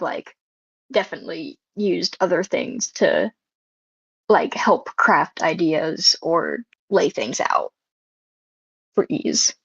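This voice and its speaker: A woman panelist chatting casually and haltingly, thinking aloud as she goes. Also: lowish voice, creaky, breathy edge, no echo. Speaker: panelist, writer. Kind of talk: casual chat. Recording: dull, lo-fi voice chat audio.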